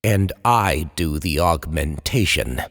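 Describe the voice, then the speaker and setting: Drenched in long reverb, a man acts out a tormented horror writer confessing his slide into madness. He speaks slowly and eloquently, with theatrical swings of pitch, in the manner of an old time radio chiller. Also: animated, a bit bright, full character voice. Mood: tormented, intense. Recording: long reverberant hall echo.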